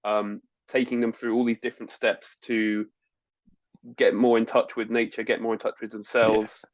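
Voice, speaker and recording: A man's voice: on the low side, flat delivery, moderate pace, dry recording, highs cut off. A man hosting a travel podcast, friendly and chatty, summing up the guest's answers. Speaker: podcast host. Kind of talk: podcast interview.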